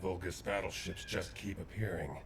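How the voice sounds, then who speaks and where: A man voicing a grave, courteous veteran soldier in a video game cutscene, speaking in a measured, formal way. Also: sits low, steady tone, gravelly, background noise.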